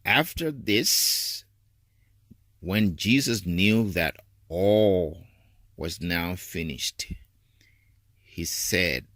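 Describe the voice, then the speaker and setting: A man's voice: low voice, bright, forceful tone, no echo, clean studio sound. A man reading scripture aloud in a solemn, formal style as a reader for a church service.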